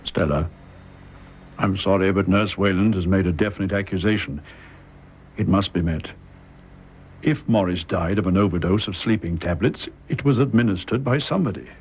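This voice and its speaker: An actor playing a stern, restrained British army major in a radio drama, formal and deliberate. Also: male voice, sits low, darkish tone. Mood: grave, probing. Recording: muffled radio recording, clear background noise.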